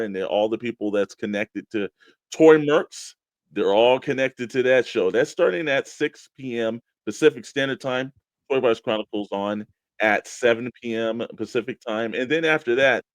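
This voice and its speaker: A man's voice: medium pitch, no echo. A man co-hosting a toy collectors' show, bantering casually and plugging programs with emphatic hype. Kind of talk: casual radio-style show banter and plugs.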